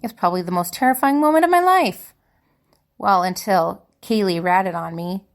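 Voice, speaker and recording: A woman's voice: mid-range voice, normal speed, natural voice. A woman playing a young spellcaster student in an audio drama, confiding and hesitant, anxious and wistful. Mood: confiding, uneasy. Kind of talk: dramatic monologue in fiction podcast.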